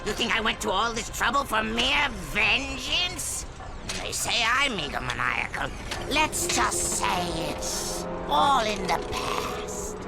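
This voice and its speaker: An actor playing a maniacal, egomaniac robot mad scientist villain in a video game cutscene, theatrical and gloating. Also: male voice, high voice, very animated, takes it slowly, gravelly, loud music behind the voice, heavily acted. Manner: theatrical, gloating, swings into shrieks.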